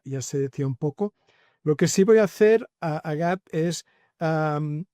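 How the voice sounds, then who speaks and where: A man's voice: on the high side, mellow, dry recording. Informal man hosting. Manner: informal, with small restarts. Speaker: host, moderator. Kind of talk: introducing a guest on a video call.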